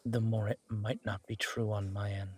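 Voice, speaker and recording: A man playing an earnest, protective, wry mafia boss on a first date in a romantic audio roleplay, speaking in a measured, intimate way. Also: lowish voice, flat delivery, breathy edge. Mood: earnest, grave.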